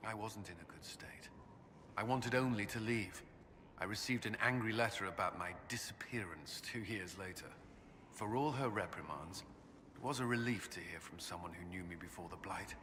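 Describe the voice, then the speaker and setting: A burdened, dutiful commander in a fantasy video game. His voice is fairly low yet forward and bright, with formal phrasing. It carries in a reverberant hall. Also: moderate pace, slight background noise. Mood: subdued, tender. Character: earnest, guarded military commander, burdened and dutiful.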